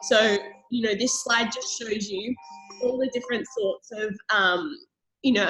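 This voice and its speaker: A woman's voice: mid-range voice, a bit bright, rough recording. A young Australian woman with an Australian accent, giving an upbeat, persuasive sales presentation on a call, with frequent fillers. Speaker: network marketing presenter.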